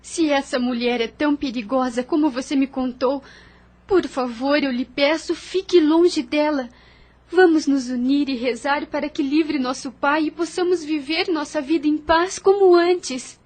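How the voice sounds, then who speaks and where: A very high-voiced young woman, anxious for her brother and father, as an actress voices her. She pleads with him in worried, earnest words in an audio drama. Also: a little slow, a little mellow. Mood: pleading.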